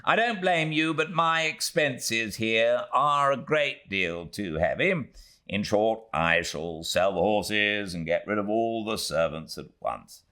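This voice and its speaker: A man's voice: on the low side, animated, slow, sharp tone, exaggerated voice. A man voicing a curt, tight-fisted and impatient Victorian baronet with a British accent, in an audiobook. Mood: brusque. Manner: brusque, commanding. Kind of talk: audiobook character voice.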